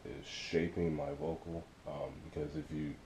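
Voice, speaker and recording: A young man with a deep voice chatting casually about his music in a laid-back, rambling way, full of fillers. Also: little pitch movement, moderate pace. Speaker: music artist vlogger. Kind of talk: casual vlog chat. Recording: roomy with loud rumbling noise.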